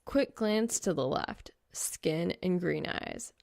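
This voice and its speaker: A woman reading fan fiction as an audiobook narrator, expressive and wry. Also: fairly low pitch, takes it slowly, gravelly, no echo, studio quality.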